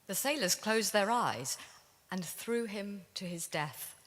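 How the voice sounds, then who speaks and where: A woman's voice: mid-range voice, animated, slow, a bit bright. A woman telling a story as dramatic spoken word in a live staged reading. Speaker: reader in a staged ensemble performance. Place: large synagogue hall, live performance. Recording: reverberant hall.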